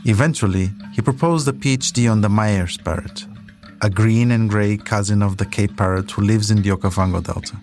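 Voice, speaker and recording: A man narrates a scripted documentary podcast in a low voice. Music plays behind him as he tells the story as host. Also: fairly quick, darkish tone.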